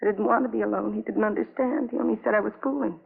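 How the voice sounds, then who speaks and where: An actress playing an anguished, lonely young wife in a 1950s radio drama, speaking in halting, repetitive fragments on a vintage broadcast recording. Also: female voice, mid-range voice, fairly even intonation, gravelly, slightly rough, phone-quality sound. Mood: desolate, pleading.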